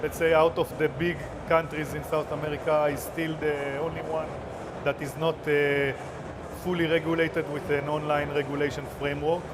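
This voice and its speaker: A man speaking as a panel guest in a fairly high, flat voice. His delivery is halting and punctuated by frequent fillers. A steady buzz of background noise runs under him. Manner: halting, many filler pauses. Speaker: panelist, online gaming executive. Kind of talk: panel discussion answers. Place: event studio at a trade show.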